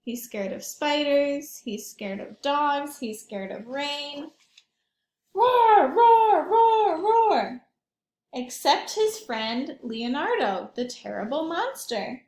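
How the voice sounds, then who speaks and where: A woman's voice: high voice, expressive, slow pace, hardly any pauses, echoey room. A woman reading a picture book aloud to young children, expressively, adding simple asides as she goes. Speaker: early childhood read-aloud storyteller.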